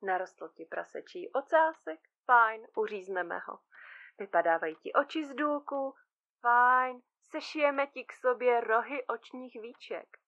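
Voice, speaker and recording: A frazzled, bickering female surgeon in a comic radio play. Her voice is high and sharp, blunt and sarcastic, in a slightly dull recording. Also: expressive. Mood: exasperated, dismissive. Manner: colloquial, sarcastic, exasperated. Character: frazzled, blunt thirty-year-old woman surgeon split in two, bickering. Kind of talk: comic audio drama dialogue.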